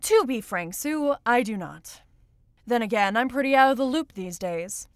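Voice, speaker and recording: A woman playing a high, airy, teasing lady. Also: lively intonation, normal speed, no room sound, studio quality.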